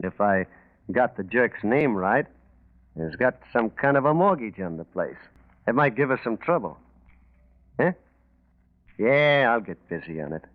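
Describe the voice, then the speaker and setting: Cocky small-time racketeer, thin narrow sound. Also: male voice, on the low side, dry recording. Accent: American. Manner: slangy, clipped tough-guy talk. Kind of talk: 1940s radio acting. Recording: thin, dry, narrow-band 1940s radio recording.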